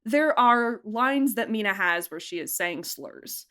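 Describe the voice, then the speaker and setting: A woman producer and director chatting casually in an interview, talking in an informal, emphatic and animated way. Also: medium pitch, unhurried.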